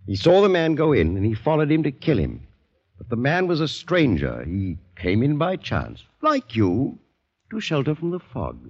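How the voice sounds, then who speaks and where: An actor playing a suave, politely menacing older doctor in 1940s radio drama, speaking in measured, insinuating phrases. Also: male voice, medium pitch, wildly swooping pitch, a little mellow, slightly rough, heavily acted. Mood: quietly menacing. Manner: courteous, measured, insinuating storytelling. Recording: dull 1940s radio, long reverb.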